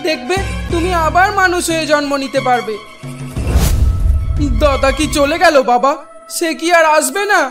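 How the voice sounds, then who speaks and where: A woman's voice: high voice, moderate pace, pauses often, faint music behind, lightly acted. A woman voicing the grieving young sister of a ghost in a cartoon, speaking tenderly and pleading.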